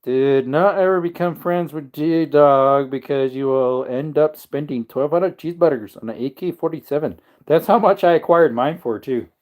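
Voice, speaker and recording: A man's voice: fairly high pitch, moderate pace, few pauses, a little mellow. A man hosting a casual livestream, rambling and joking while greeting viewers in the chat. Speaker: livestream host.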